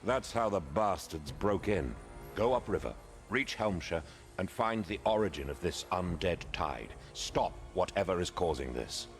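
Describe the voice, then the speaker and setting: Devout warrior leader in a fantasy game, stern rousing speeches, bit of music behind. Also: male voice, low-pitched, expressive, a little slow. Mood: grave. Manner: solemn, commanding, rousing oratory. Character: zealous male holy war commander, defiant and devout. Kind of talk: dramatic fantasy video game acting.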